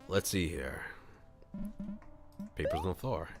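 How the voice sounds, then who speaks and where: Very deep male voice, live visual novel read-aloud, streamer, casual asides, music clearly behind. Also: very animated, slow pace. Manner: casual asides between scripted narration. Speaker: streamer, VTuber. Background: background music.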